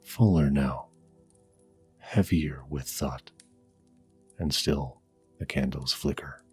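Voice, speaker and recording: A man narrating a scripted ASMR fantasy game as game master, hushed and deliberate, with dramatic pauses. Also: very deep voice, faint music behind.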